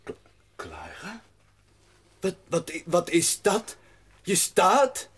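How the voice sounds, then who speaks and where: A man playing a hearty, tender father in an audio drama, with halting, exclamatory delivery. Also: sits high, huge pitch swings, fairly bright tone, slightly rough, full character voice. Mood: astonished, moved.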